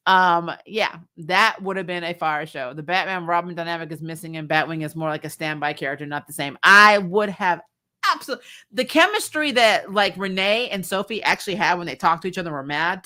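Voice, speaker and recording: A woman with a fairly low voice that has a bright, forceful edge. She talks like a livestream host in a casual fan chat, informal and blunt, with swearing mixed in. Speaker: host of a fan recap show.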